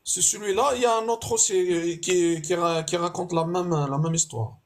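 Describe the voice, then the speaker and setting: A man's voice: high voice, normal speed. A man in a heated online debate who hesitates, stammers and fills gaps with fillers, heard over a voice call.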